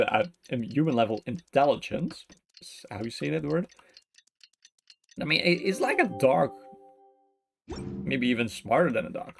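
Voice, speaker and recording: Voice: medium pitch, creaky. A game streamer giving casual live commentary, mumbling in a playful, slangy way.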